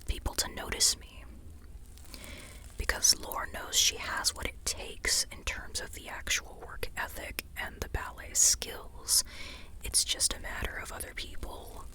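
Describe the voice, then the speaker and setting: An ASMR host talks in an unvoiced, breathy whisper with a very bright, sharp edge. The delivery is slow and informal, rambling along in short hushed phrases with frequent pauses, like a book review shared between friends. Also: very high-pitched.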